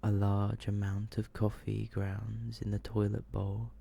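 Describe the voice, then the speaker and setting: A man softly whispering and murmuring as he reads in an ASMR style, hushed and drawn out, close to the microphone. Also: lowish voice, monotone, vocal fry, husky, lo-fi sound. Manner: hushed, slow, drawn-out delivery. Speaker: ASMR video host reading short horror stories.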